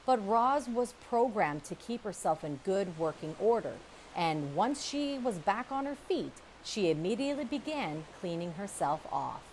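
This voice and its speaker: A woman reading a children's chapter book aloud, with expressive, measured storytelling and dramatic pauses. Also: fairly low pitch, a little hiss or hum, poor audio. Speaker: storybook reader. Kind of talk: children's audiobook read-aloud, scripted narration.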